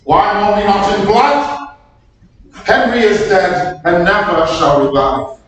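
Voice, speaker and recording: In a very echoey room, a mournful, anxious English nobleman slowly declaims Shakespearean verse , played by a man. The high voice is picked up from afar. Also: a bit bright. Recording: very echoey room from far off, faint noise.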